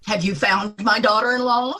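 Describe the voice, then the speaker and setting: A woman's voice: mid-range voice, fairly even intonation, normal speed, bright, forceful tone. A woman acting a composed, polite older mother-in-law in an old-time radio drama. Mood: concerned, cool.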